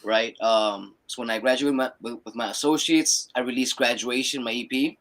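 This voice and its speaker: A young man from Peru, raised in the United States, talking as a musician guest in a relaxed way, often repeating words and checking in with "right?". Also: medium pitch, normal speed. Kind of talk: casual interview chat.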